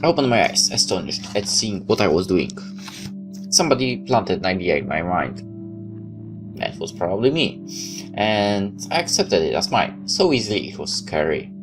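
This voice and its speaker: Low-voiced man reading a story aloud, music behind. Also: sing-song, normal speed. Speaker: gamer reading a visual novel aloud with side comments. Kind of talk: first-person story narration with casual asides. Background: background music.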